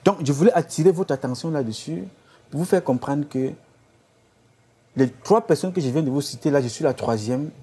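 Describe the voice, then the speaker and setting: Husky, exhorting man. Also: fairly high pitch, moderate pace, dark tone. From Cameroon. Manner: exhorting, repetitive rhetorical appeals. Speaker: activist leader. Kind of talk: impassioned address to followers.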